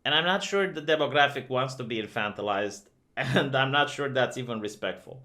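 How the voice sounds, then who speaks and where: Bright-voiced commentator man. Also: mid-range voice, brisk. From Romania. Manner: conversational and opinionated, many fillers. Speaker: video commentator. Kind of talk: opinionated commentary vlog.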